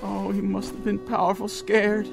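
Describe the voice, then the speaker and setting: A man's voice: high-pitched, completely flat delivery, normal speed, creaky. An actor in a radio drama playing an anxious, guilt-ridden frontier man with a pleading, folksy manner. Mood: fearful awe. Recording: faint music under the dialogue.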